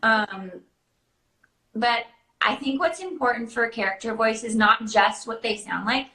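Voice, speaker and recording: Bright, higher-voiced American woman in a roomy space. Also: unhurried. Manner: animated storytelling, acting out quoted dialogue. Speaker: live show host and character party performer. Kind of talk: casual chat, answering viewer questions. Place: indoor room, online video chat. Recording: roomy echo.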